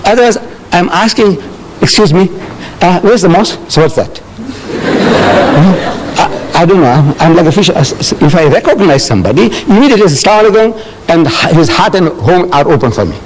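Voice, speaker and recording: An older man from South Africa answering audience questions in a lecture, animated and telling stories with acted-out dialogue. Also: high voice, brisk, noisy background.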